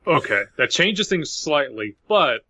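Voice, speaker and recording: A man's voice: fairly high pitch, sing-song, unhurried, sharp tone, slightly rough. A man co-hosting a podcast in casual, opinionated chat. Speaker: podcast co-host. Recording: dull lo-fi, dry.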